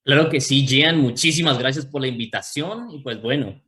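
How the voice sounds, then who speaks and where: A man's voice: mid-range voice, moderate pace, bright, forceful tone. A young man in his twenties from Colombia, with a Colombian accent, a friendly theology student guest. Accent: Colombian Spanish.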